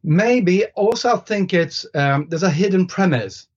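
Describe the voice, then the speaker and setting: A man's voice: sits high, animated, measured pace. Native Swedish man, podcast guest, hesitant and thoughtful delivery, slightly muffled recording. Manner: thoughtful, hesitant with many restarts and fillers. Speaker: guest author. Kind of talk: casual podcast conversation. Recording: slightly dull lo-fi sound.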